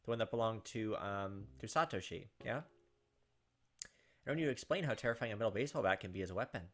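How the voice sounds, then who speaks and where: A man reading a visual novel's narration aloud as he plays, adding short side comments of his own. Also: fairly low pitch. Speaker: gaming channel host doing a playthrough. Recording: game music behind the voice. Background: visual novel background music.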